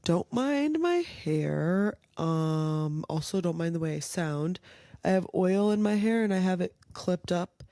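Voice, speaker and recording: A young woman hosting a casual solo podcast, chatty and rambling, her voice a little nasal and raspy from a cold. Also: fairly low pitch, lively intonation, measured pace, mellow, dry recording. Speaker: podcast host.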